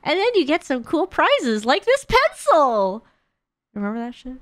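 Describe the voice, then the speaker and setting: A woman's voice: high voice, huge pitch swings, a little slow, no echo. A young woman chatting casually and playfully as she streams a game. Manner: playful, chatty, breaks into singing and silly sounds. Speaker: streamer playing a horror game. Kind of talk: casual gaming livestream chat. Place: indoor streaming room at home.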